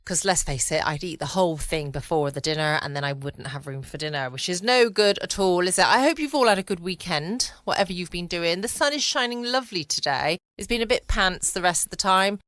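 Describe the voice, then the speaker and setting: A woman's voice: on the low side, brisk, hardly any pauses, fairly bright tone, dry recording. A woman hosting a casual bedtime podcast from home, chatty and rambling. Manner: chatty, rambling, light laughs. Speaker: podcast host.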